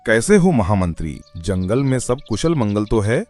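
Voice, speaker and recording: A man voicing a wise old male bear elder in a Hindi cartoon, calm and fatherly. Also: lowish voice, normal speed, soft background music. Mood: concerned. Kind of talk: cartoon voice acting.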